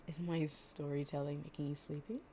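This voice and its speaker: A woman's voice: low-pitched, little pitch movement, brisk, noisy background. A woman performing a storytime audio roleplay, reading fables and chatting informally and playfully. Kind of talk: gentle storytime reading with improvised casual chat.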